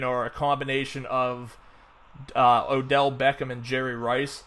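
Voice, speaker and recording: A man's voice: medium pitch, a bit bright. A man hosting a casual fantasy football podcast, rambling with lots of fillers and restarts. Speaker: podcast host. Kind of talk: casual sports podcast chat.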